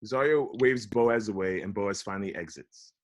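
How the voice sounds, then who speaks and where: A man's voice: on the low side, moderate pace. A man reading stage directions aloud as the narrator of a scripted table read.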